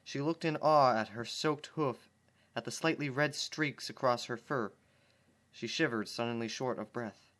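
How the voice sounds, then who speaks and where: A man's voice: medium pitch, unhurried, a bit bright. A man reading fan fiction aloud at home, breaking off for sarcastic, exasperated commentary. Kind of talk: dramatic reading with comic asides.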